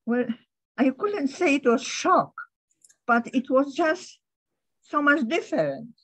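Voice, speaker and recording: Brief: older Polish woman, English not her first language, slightly high voice, halting recollection, dry close sound. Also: expressive, dry recording. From Poland. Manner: halting, restarts often, light laughs. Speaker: interviewee. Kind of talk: recalling her past in conversation.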